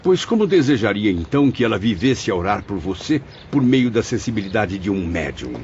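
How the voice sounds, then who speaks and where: A man as a deep, expressive consoling spirit. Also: slight background noise. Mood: consoling reasoning. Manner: expressive, theatrical, measured reasoning. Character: male spirit narrator, a consoling, reasoning friend and writer. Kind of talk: dramatized audiobook narration and dialogue. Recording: dull lo-fi audio with music behind.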